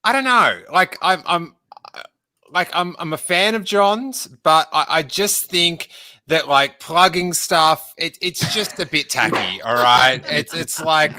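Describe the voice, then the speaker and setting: Male host, bright and forceful, stammering and joking through a loose livestream chat. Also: on the high side, slow.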